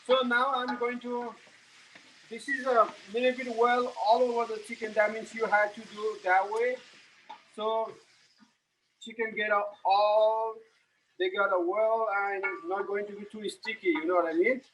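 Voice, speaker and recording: Casual: nepali guy with a really high voice walking you thru his curry step by step, halting simple english, faint hiss behind. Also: little pitch movement, fairly bright tone, rough recording. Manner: halting, plain English, narrating each step as he cooks. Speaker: home cook giving a cooking demo. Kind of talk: live cooking demonstration.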